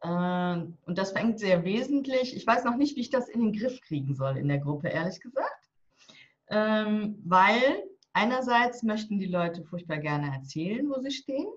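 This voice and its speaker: A woman's voice: mid-range voice, expressive, moderate pace. Blunt, outspoken woman around sixty. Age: turning 60. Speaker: guest, divorce coach and author. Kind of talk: casual interview talk. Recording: slightly dull lo-fi audio.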